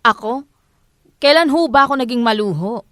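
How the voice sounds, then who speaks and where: A woman's voice: a little high, animated, measured pace, a bit bright, no room sound, clean studio sound. A young woman with a Filipino accent acting a put-upon, dutiful daughter in an audio drama, plaintive and respectful. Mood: hurt, pleading. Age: young adult. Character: put-upon, dutiful adult daughter defending herself to mother.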